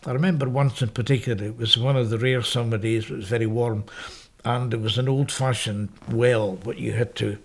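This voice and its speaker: A man's voice: mid-range voice, steady tone, brisk. Older Scottish man, reflective and wry. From Scotland. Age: 70s. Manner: reflective, wry, digressive, with self-corrections. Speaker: interviewee, professor. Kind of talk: oral history interview, reminiscing. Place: parish house room, indoors.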